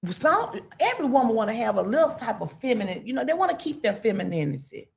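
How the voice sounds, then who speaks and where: A woman with a deep voice, talking emphatically and preachily as a guest on a casual panel show. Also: very animated, fast, creaky. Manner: emphatic, preachy, talks straight to women. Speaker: podcast guest.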